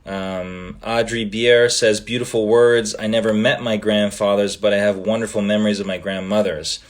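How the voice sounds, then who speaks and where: A man from Canada whose first language is Italian, hosting a casual livestream, reading fan comments aloud in a relaxed way with many ums and trailing pauses. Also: on the low side. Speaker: livestream host.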